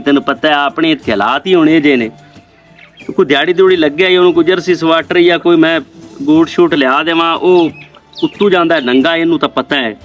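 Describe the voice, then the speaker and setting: Guy acting worried poor village dad, rural pakistani punjabi, highish voice, dull lo-fi sound, amateur drama. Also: a bit bright, natural voice. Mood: explaining, troubled. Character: poor, proud, worried village father and labourer. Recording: dull lo-fi video with faint music.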